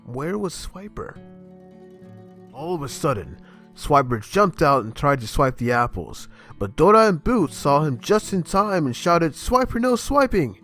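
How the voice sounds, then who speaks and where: A man reading a children's story aloud as narrator in an animated, expressive way. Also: on the high side, measured pace, soft background music.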